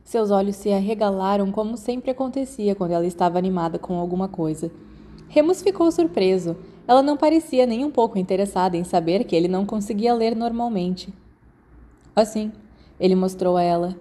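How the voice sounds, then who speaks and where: A woman's voice: mid-range voice, animated, fairly quick, warm tone, slight background noise. A woman with a Brazilian accent reads scripted fiction as an audiobook narrator.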